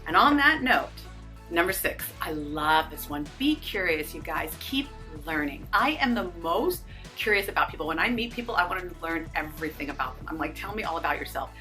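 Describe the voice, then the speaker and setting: A woman's voice: fairly low pitch, lively intonation, brisk, bright, forceful tone, soft background music. A woman in her fifties talking casually to the camera, upbeat and encouraging, speaking straight to her viewers. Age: 50s. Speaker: fitness and lifestyle YouTuber.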